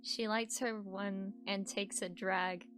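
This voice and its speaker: A woman's voice: medium pitch, fairly even intonation, slow, a bit bright, faint music behind. A woman reading fan fiction aloud in a casual, amused way, breaking off to comment. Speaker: voice actor, co-reader.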